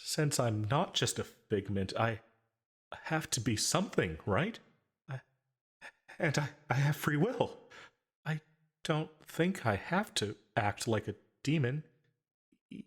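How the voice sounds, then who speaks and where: Man playing a halting, husky, lonely demon. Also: mid-range voice, expressive. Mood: drained, uncertain. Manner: halting, hesitant, stammering, tentative. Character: lonely, possessive, anxious male sleep paralysis demon longing to be real. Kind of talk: intimate ASMR audio roleplay.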